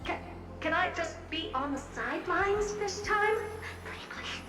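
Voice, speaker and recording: A woman playing a young magical puppet girl in a video game, expressive, cutesy and plaintive. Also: very high-pitched, unhurried. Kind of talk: video game character acting. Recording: echoey reverberant sound with music behind.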